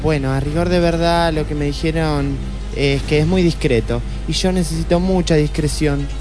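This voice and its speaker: Guy playing a snooty argentine rich widow, high voice, kinda indignant, comedy radio play. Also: steady tone, moderate pace, natural voice. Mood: genteel. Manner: affected, indignant. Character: haughty, imperious wealthy widow, a femme fatale client. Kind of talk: comic radio play acting. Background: music.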